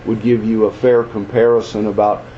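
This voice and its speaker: A man's voice: lowish voice, flat delivery, moderate pace. A man speaking in an orderly, articulate way as a senior official in a telephone meeting. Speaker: chancellor. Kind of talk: meeting discussion, summarizing. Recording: muffled phone line, faint noise.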